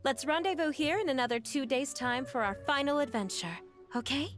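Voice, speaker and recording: A woman playing a gentle, courteous mother in a video game, speaking in a gracious, polite storytelling manner. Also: sits high, a little fast, close to an everyday voice. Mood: tender, grateful. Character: gentle, courteous mother telling a fairy tale to friends. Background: music.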